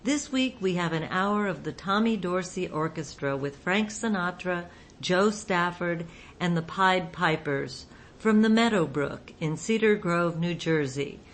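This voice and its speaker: A woman's voice: fairly low pitch, slight background noise. A woman hosting a radio show, reading a scripted introduction to a vintage program.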